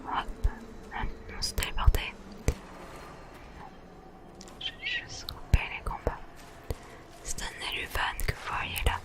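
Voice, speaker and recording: A woman speaks entirely in a hushed whisper, taking her time over every phrase. Her delivery is gentle and slow, in the style of an ASMR host guiding listeners through a game. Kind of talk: ASMR whispered game commentary and read-aloud.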